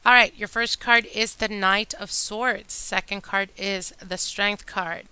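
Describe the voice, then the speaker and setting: A woman reading tarot cards for her channel, chatty and addressing viewers directly, her voice a little hoarse from a long day. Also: medium pitch, steady tone, slow. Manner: chatty, warm address to viewers, whispers during shuffling. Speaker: tarot reader. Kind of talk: casual tarot reading monologue. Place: indoor table with candles and decor.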